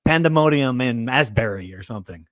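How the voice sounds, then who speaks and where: A man's voice: mid-range voice, animated, fairly quick, a bit bright, no echo. Man hosting a casual sports podcast, dry sound. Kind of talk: casual sports talk and interviewing.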